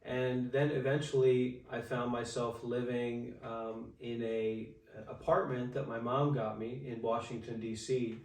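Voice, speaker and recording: Guy in his 20s talking slow with lots of ums, pretty monotone, sounds far from the mic. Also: mid-range voice. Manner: halting, many fillers and restarts. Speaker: interview guest, Buddhist monk. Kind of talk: casual storytelling in an interview. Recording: echoey room, far from the mic.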